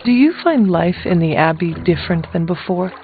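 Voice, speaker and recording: An actor playing a direct, formal hero in a video game, with a plain and measured way of talking. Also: male voice, high-pitched, animated. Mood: curious, teasing. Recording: dull game audio under music.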